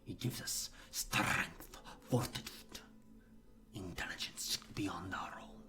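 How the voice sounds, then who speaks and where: A man voicing a creepy, devoted hive-minded servant creature in a slow, solemn, breathy whisper for a tabletop game. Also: low-pitched, sharp tone, roomy sound, pitched below the performer's own voice, heavily acted. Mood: reverent, eerie. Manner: slow, halting, solemn, speaks in short phrases.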